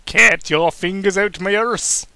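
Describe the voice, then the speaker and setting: Man acting out a loud, whiny little bearded man. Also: high-pitched, unhurried, no room sound, pitched above the performer's own voice, full character voice. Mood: yelling, frustrated. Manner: whining, yelling, sarcastic. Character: small bearded man, whiny, indignant, childish complainer.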